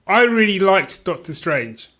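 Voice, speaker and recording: A man's voice: high-pitched, sing-song, measured pace, sharp tone. A man co-hosting a podcast, chatting casually about films in a rambling, conversational way. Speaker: podcast co-host. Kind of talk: casual film chat.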